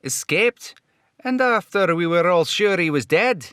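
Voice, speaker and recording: A man voicing an eager, chatty police sidekick in an old radio serial style, excitable and folksy. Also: high-pitched, unhurried, smooth, no echo, studio quality, pitched above the performer's own voice. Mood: eager, curious.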